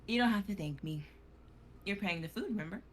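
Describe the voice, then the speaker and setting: A woman acting a gentle, forgiving old friend in an understated, conversational dramatic reading on a livestream. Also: mid-range voice, expressive, a little fast, lightly acted. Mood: light, teasing.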